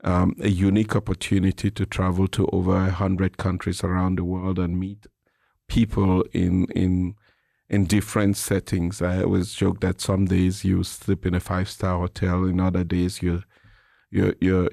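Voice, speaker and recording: Middle aged cameroonian guy being interviewed, low gravelly voice, explains things carefully. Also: completely flat delivery, moderate pace, warm tone, no room sound, clean studio sound. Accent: Cameroonian English. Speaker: interview guest, nonprofit president and CEO. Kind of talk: reflective conversational interview answers.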